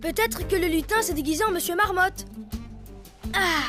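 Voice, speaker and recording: A woman's voice: very high-pitched, normal speed, over music. A woman voicing a young boy turtle who plays a gallant, boastful knight in a cartoon, earnest and self-important. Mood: confident. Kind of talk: animated cartoon dubbing.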